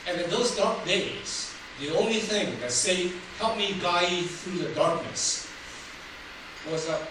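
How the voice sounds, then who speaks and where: A man's voice: high-pitched, takes it slowly. An older man from Taiwan, Taiwanese his first language, telling his life story as a humorous public speech. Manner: humorous, laughs at his own jokes. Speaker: public speaker. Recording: roomy hall from far off, noisy.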